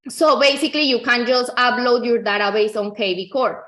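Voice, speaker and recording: A woman from Colombia, a native Spanish speaker with a Colombian accent, giving an informal software tutorial in a conversational, explanatory way, often repeating herself. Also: on the high side, brisk. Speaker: real estate agent giving a software tutorial. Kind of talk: informal screen-share tutorial.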